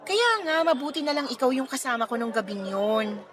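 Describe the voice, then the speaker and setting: A woman's voice: high-pitched, animated, fairly quick, a faint crowd. A woman playing a hurt, pregnant young woman confronting her friend in an emotional Filipino radio drama. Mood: anxious, confessing. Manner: emotional, pleading and reproachful. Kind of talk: radio drama acting in Tagalog.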